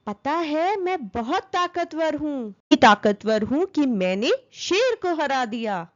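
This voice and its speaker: A woman voicing a boastful, pestering little female fly in a children's story, bragging and taunting, quick to anger. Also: high voice, very animated, muffled recording, full character voice. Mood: smug, boastful.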